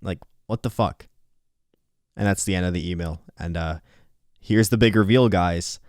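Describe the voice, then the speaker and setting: A man's voice: low-pitched, normal speed, darkish tone, no echo, studio quality. A tired young man recording a casual late-night talk, rambling and candid, swearing and laughing now and then, with a slightly nasal voice. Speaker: YouTuber. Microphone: earphones on, close mic.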